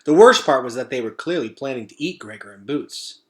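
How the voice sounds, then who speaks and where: A man's voice: medium pitch, animated, brisk. A man narrating a children's novel expressively as a read-aloud storyteller.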